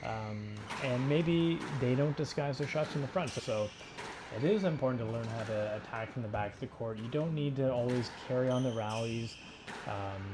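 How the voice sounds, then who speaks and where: A man coaching in an informal, explanatory way, talking through sports drills in halting phrases. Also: mid-range voice, noisy background. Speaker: squash coach. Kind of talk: instructional sports commentary over drill footage.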